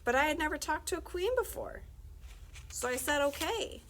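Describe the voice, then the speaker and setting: A woman's voice: high voice, lively intonation, normal speed, a bit bright. A woman reading a children's book aloud expressively for library story time, with asides to her listeners. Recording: faint background noise.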